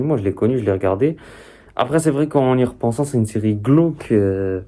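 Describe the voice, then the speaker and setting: A man's voice: mid-range voice, warm tone. A young man hosting a reaction video in casual, slangy, informal chat. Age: young adult.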